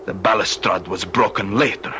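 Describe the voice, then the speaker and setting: A man's voice: deep voice, moderate pace, lightly acted. A man playing an intense, shy, embittered Spanish teenage boy in an old-time radio drama, with a Spanish accent and an earnest, formal way of speaking. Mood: grim, intense. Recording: noisy vintage radio recording.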